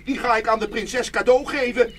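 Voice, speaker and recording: High-pitched, cheerful simpleton lad acted by a man. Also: fairly even intonation, moderate pace, fairly bright tone, slight background noise. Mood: proudly cheerful. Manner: eager, guileless. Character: Hans the simpleton, cheerful naive youngest brother. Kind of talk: audio fairy-tale play acting.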